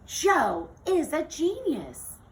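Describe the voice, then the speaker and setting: Woman voicing an earnest, animated girl inventor. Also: high voice, extremely slow, pitched above the performer's own voice, exaggerated voice. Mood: amazed. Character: earnest, careful young girl inventor, easily flustered. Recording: distant mic with faint noise.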